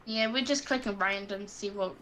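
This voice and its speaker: A woman with a bright, sharp voice talks loudly and projects well on a casual gaming stream. She answers with quick, dry comebacks at a middle pitch. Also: slight background noise, poor audio. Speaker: guest player. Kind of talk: casual livestream chat.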